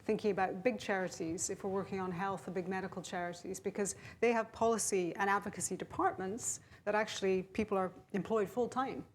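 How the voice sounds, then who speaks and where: A woman's voice: mid-range voice, steady tone, brisk, few pauses. A woman on a research panel, giving frank, direct advice. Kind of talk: panel discussion answer.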